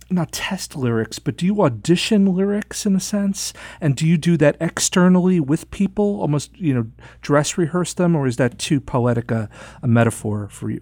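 A man's voice: a little high, moderate pace, mellow, no echo, studio quality. A man interviewing in a conversational way, musing and wordy as he circles toward his questions.

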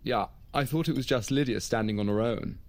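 An actor playing an affable, curious, slightly tactless young boyfriend, casual and questioning, in an audio drama. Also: male voice, medium pitch, a little fast, natural voice. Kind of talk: naturalistic audio drama acting.

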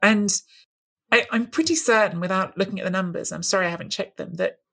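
A woman's voice: medium pitch, a little fast, vocal fry, pushed and bright, breathy edge. A woman co-hosting a football chat, analytical and thoughtful, sometimes hunting for the right word. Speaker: football podcast co-host.

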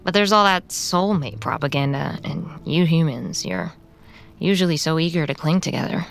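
A woman playing an ageless, jaded female vampire in an audio drama, dry, haughty and deadpan. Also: low-pitched, normal speed, creaky. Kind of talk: naturalistic audio drama acting.

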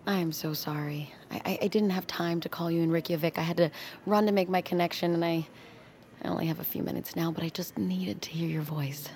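A woman playing an anxious, tender submersible pilot and expectant mother, often hushed and halting, in an intimate audio drama. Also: lowish voice, fairly even intonation, slight background noise, close to an everyday voice. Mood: flustered, apologetic.

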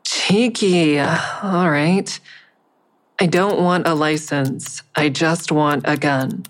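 A woman's voice: sits low, steady tone, slow. A woman playing a wary, dry-witted investigator who narrates her own story in an audio drama, guarded and understated. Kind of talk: first-person audio drama narration and dialogue.

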